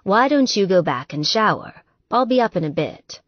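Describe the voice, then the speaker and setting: A woman voicing an affectionate, reserved man who teases gently, in audiobook dialogue. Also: medium pitch, sing-song, normal speed, no echo, dull audio. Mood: calm. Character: affectionate, teasing athletic man, reserved boyfriend.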